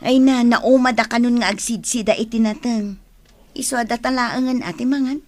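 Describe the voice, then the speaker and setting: Woman acting nosy envious neighbour wife in a radio drama, high voice, ilocano accent, always prodding. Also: fairly even intonation, moderate pace, darkish tone, soft background music, natural voice. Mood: nagging. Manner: gossipy, prodding, exclamatory. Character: nagging envious village wife and gossipy neighbour.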